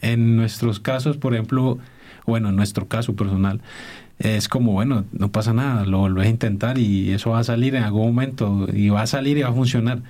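A man's voice: medium pitch, steady tone, brisk, mellow. Hesitant man, middle voice. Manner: thoughtful, hesitant, searches for words. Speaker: dance instructor guest. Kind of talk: interview answers.

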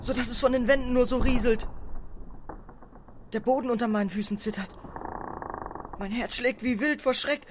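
A woman as a curious, excitable little dwarf. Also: on the high side, moderate pace, long pauses, background noise, lightly acted. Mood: frightened. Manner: stammering, eager, breathless wonder. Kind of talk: children's radio play acting.

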